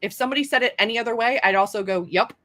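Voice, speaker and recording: A woman's voice: on the high side, racing, pushed and bright. A young adult woman, an author hosting a casual live stream, chatting in a self-deprecating way with lots of fillers.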